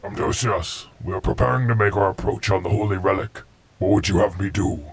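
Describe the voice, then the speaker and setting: A man's voice: sits low, brisk, gravelly, slight background noise. An actor playing a devout alien warrior who addresses his chieftain formally in a sci-fi audio drama. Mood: grave, loyal. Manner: formal, deferential.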